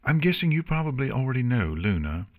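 Man voicing a gracious, high-pitched pony mare. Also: big pitch swings, fast, no echo, narrow, tinny audio. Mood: polite. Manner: polite, hospitable. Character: polite, gracious, forthright young pony mare.

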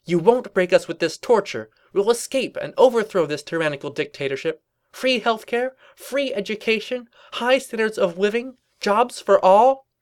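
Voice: medium pitch, normal speed, pitched above the performer's own voice, lightly acted. A performer voicing an indignant young female cartoon pony revolutionary, declaiming and snapping defensively in a story reading. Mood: defiant, ranting.

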